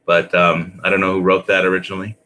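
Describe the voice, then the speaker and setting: A man talking casually and ramblingly, in a halting way that often repeats and restarts phrases. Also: low-pitched, level tone, sharp tone.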